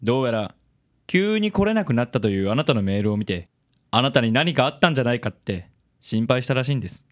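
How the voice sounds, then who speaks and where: A man's voice: medium pitch, very animated, fast talker, natural voice. Self-admiring male investigator acted by a man, medium register, suave and theatrical, for radio drama. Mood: earnest, reporting. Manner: smooth, theatrical self-assurance.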